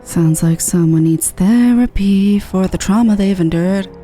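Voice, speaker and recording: A woman's voice: mid-range voice, measured pace, mellow, soft background music. A woman chatting casually as she streams a video game. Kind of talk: casual live gameplay chat.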